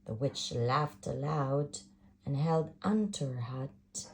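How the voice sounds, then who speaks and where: A woman reading a rhyming picture book aloud with an expressive, rhythmic delivery. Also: rumbling low, slow pace, slight background noise. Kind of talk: storybook read-aloud.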